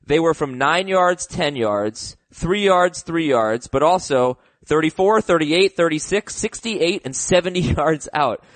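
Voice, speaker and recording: A man's voice: fairly high pitch, a little slow, few pauses, dry recording. Slightly sick man hosting a sports podcast. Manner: animated, hot takes, restarts. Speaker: podcast host. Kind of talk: casual sports chat.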